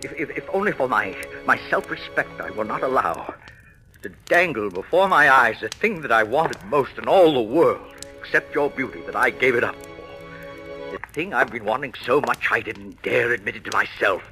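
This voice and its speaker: A man's voice: medium pitch, lively intonation, moderate pace, sharp tone, soft background music, low-quality recording. A man playing a proud, bitter middle-aged actor husband in an old-time radio thriller, speaking in a florid, declamatory way. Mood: bitter, resolute. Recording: vintage radio recording.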